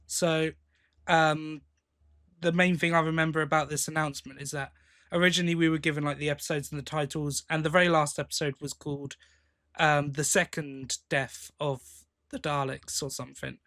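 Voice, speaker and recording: A man's voice: on the high side, flat delivery, normal speed, fairly bright tone. A man co-hosting a podcast, chatting casually about news. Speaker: podcast co-host. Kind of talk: casual chat about sci-fi audio drama news.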